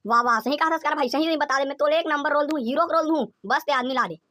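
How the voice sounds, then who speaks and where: Someone voicing a high-pitched bossy Chhattisgarhi cartoon villager. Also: fast talker, bright, forceful tone, a little hiss or hum. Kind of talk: comedy cartoon dialogue in colloquial village speech.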